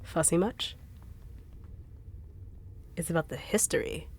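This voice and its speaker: A woman's voice: on the low side, slightly rough. Woman voicing a blunt, sarcastic bodyguard. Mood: dismissive. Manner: fast-talking, no-nonsense, dry and teasing. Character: blunt, sarcastic female bodyguard treasure hunter, adult. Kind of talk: audio roleplay drama.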